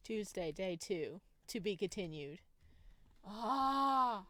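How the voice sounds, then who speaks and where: A woman's voice: medium pitch, animated, slow pace, pushed and bright, dry recording. Girl doing a visual novel lets play, reads it out n reacts, giggly, gushy, really dry sound. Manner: giggly, gushing, reacts with exclamations. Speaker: gaming video host. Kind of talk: visual novel let's play, reading text aloud with excited reactions.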